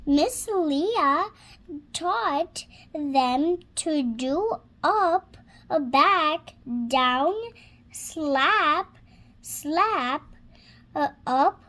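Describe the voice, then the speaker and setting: A young girl of six reads a children's storybook aloud in a high voice. Her reading is very slow and hesitant, as she stops to sound out words. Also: expressive, fairly bright tone. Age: child, six years old.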